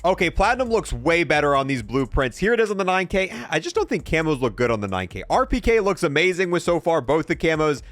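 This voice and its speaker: A man's voice: on the high side, big pitch swings, quick pace, few pauses, a bit bright, no echo. A man giving casual, offhand commentary as he showcases a video game, with some dry humor. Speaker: gaming video presenter.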